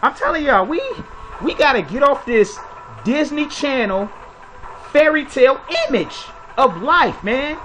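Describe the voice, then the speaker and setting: Man, opinionated commentary, rhetorical questions, soft music underneath. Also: extremely high pitch, lively intonation, a little slow, low-quality recording. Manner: preachy, blunt, lecturing with rhetorical questions. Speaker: commentary video host.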